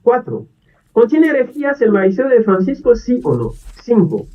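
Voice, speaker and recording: A priest who is not a native Spanish speaker, making an earnest, pleading video appeal to the faithful and asking questions over and over. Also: male voice, very high-pitched, wildly swooping pitch, normal speed. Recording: distant dull lo-fi video audio.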